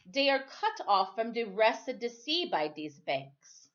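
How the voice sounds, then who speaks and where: A woman voicing a calm, patient older island sailor man in a storybook reading, with drawn-out, folksy phrasing. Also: a little high, dull audio. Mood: hesitant. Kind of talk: storybook read-aloud.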